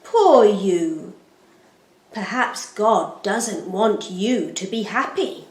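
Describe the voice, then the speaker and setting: A woman voicing a sly, deceitful storybook serpent who coaxes in a slow, insinuating whisper. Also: mid-range voice, animated. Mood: sly, mock-pitying. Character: sly tempting serpent, male, coaxing and deceitful. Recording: roomy, echoing sound.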